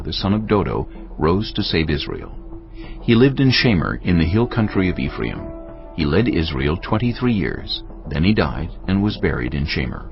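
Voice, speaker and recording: A male narrator tells a scripture story solemnly, as in a dramatised audio Bible. His voice is very deep with a gravelly edge, yet moves widely in pitch as he tells it. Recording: muffled, band-limited audio with music. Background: music bed.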